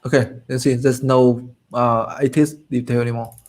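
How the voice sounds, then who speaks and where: A man's voice: medium pitch, little pitch movement, normal speed, a little mellow. Man thinking aloud while coding. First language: Vietnamese. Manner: thinks aloud, muttering to himself with frequent fillers. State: voice getting low and tired. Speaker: coding tutorial host. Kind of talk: casual live coding walkthrough.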